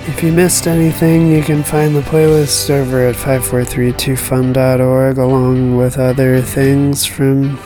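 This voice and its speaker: A radio host signing off a show late at night, hoarse and losing their voice, rambling and self-deprecating as they trail off. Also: medium pitch. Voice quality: froggy.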